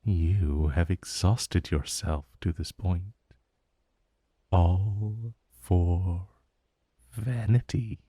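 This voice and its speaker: An ancient, haughty dragon in human form, acted by a man for fantasy ASMR audio. The voice is fairly low and extremely unhurried, recorded close and dry. It purrs with theatrical condescension, teasing the listener with veiled menace. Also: warm tone, breathy edge, dry recording, heavily acted. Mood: coolly questioning. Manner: slow, theatrical, condescending purr with mocking endearments.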